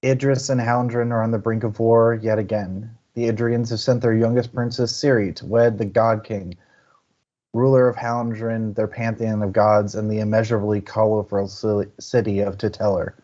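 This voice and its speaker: Male podcast co-host, somewhat low-pitched, informal book-club delivery, mildly lo-fi sound. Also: level tone, moderate pace, mellow. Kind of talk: casual book-chat livestream hosting.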